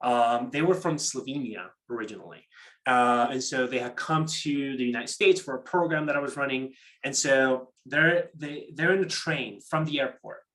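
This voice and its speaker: A man's voice: mid-range voice, moderate pace, bright, forceful tone, poor audio. A man presenting a workshop in a conversational, explanatory way, often repeating words as he restarts and checking in with short tag questions. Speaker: program manager presenting a workshop. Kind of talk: interactive online workshop talk on pitching.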